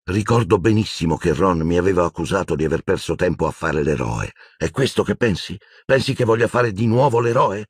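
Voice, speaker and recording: Audiobook role: intense teenage boy played by a man, insistent and argumentative, husky tone, lively swings in pitch. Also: fairly quick, hardly any pauses, dry recording, studio quality, heavily acted. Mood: furious. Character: intense, hot-headed teenage boy, urgent and defiant.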